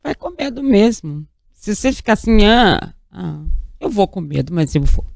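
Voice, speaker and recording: A woman's voice: mid-range voice, very animated, normal speed, creaky, a little mellow, no room sound, clean studio sound. Emphatic, enthusiastic female talk show host. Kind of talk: interview and show sign-off.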